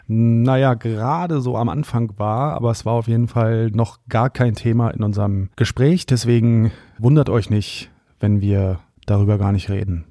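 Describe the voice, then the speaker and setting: A man's voice: lowish voice, fairly even intonation, normal speed, warm tone, no room sound, studio quality. A man hosting a podcast, introducing and interviewing a guest in a friendly, conversational way. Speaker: podcast host.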